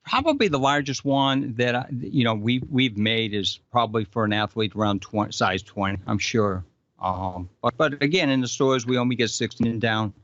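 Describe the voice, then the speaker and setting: An easygoing man with a Boston accent answers questions as a guest on a live stream, pausing a little before each reply. His voice is mid-pitched for a man. The audio is dry and muffled. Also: a bit bright, no room sound. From Boston area. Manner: easygoing, hesitant, friendly. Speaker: guest, shoe store employee. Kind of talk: casual interview answers.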